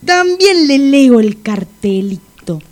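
An actress playing a formal, by-the-book police sergeant in a comic radio drama. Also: female voice, high-pitched, very animated, slow pace, darkish tone, heavily acted. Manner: formal, procedural.

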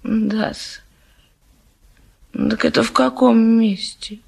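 Bashful young bride-to-be, slightly high pitch, naive, coy, genteel, stage comedy. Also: female voice, flat delivery, drawn-out words, gravelly, a little mellow, slightly rough, full character voice. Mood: coy politeness. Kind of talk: theatrical classic stage comedy acting.

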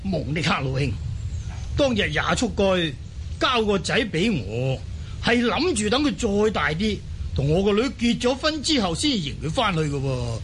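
Man acting a high-pitched, expansive Mongol chieftain. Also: sing-song, normal speed, fairly bright tone, slight background noise. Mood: firm, guarded. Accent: Cantonese. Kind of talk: Cantonese audio drama acting.

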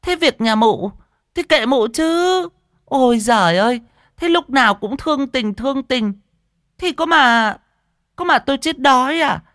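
A man voicing a sharp-tongued, scornful market woman who haggles and exclaims over and over in an audiobook story. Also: very high-pitched, lively intonation, unhurried, dry recording, clean studio sound, pitched above the performer's own voice, heavily acted. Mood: scornful haggling.

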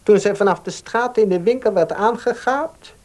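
A man's voice: high-pitched, normal speed, a little mellow, close to an everyday voice. A man plays a careful, protective baker in a Dutch radio drama. He talks formally and deferentially, choosing his words with some hesitation. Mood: cautious, protective. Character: polite, cautious baker, protective older brother. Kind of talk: Dutch radio play acting.

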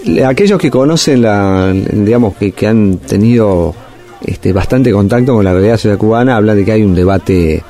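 A man speaks as an analyst guest on a radio interview. He thinks aloud, hedging and padding with fillers. His voice is low and mellow, with faint music far behind. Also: normal speed. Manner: thoughtful, hedging, with many fillers.

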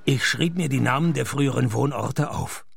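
An actor playing a laconic private detective who narrates his case in a radio play, dry, terse and deadpan. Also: mid-range voice, moderate pace, creaky, slightly rough. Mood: dry, matter-of-fact.

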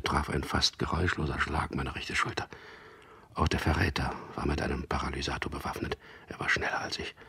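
A very breathy, half-whispered male voice sits at the bottom of the register. a tormented captive fighter in a radio drama, confused and halting, with an intense, hushed delivery. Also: exaggerated voice. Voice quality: very low, breathy, gravelly whispery voice. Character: tormented captured resistance fighter, haunted and confused. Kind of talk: radio drama acting with first-person narration.

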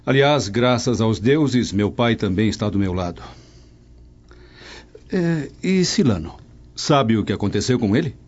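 Man playing a dignified low-voiced Roman patriarch, muffled audio. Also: animated, measured pace, pauses often, darkish tone. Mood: earnest, reflective. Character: dignified Roman nobleman, wronged in youth, traditional father. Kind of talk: radio drama acting. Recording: dull lo-fi audio.

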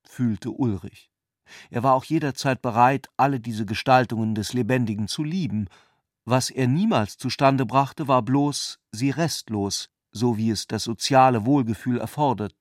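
A man's voice: mid-range voice, no room sound, clean studio sound. A man reading scripted literary narration for a radio production.

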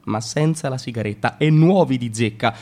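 A man reciting satirical radio theatre in a mock-instructive manner, with dramatic pauses. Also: mid-range voice, a little mellow.

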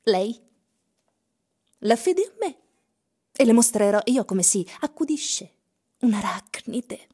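A woman's voice: on the high side, very animated, slow, pauses often, mellow, slightly rough, exaggerated voice. An actress playing a self-assured, mysterious female singer in a theatrical radio drama, teasing and coy. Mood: teasing, confident.

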